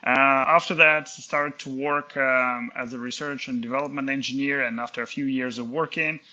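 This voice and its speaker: Inventor guest, a man from Kazakhstan in his 30s; very bright voice, stop-start and hesitant. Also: mid-range voice, fairly even intonation, moderate pace, muffled recording. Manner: hesitant, many fillers and restarts. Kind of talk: casual interview talk.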